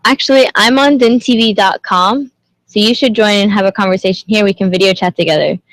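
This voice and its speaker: A woman's voice: mid-range voice, brisk, dry recording, low-quality recording. A young woman who grew up in Indonesia, hosting a casual livestream and chatting with viewers, greeting them and asking lots of questions. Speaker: livestream host.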